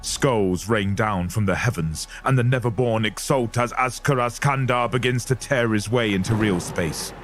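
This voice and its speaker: A man's voice: lowish voice, normal speed, few pauses. A man narrating a scripted audio story in a dramatic style. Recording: clear music bed under voice. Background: dramatic music.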